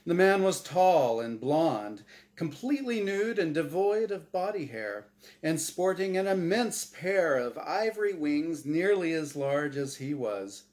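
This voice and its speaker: A man narrates a story as its author. His delivery is slow and deliberate, with lively swings in pitch. It is a dramatic, scripted reading.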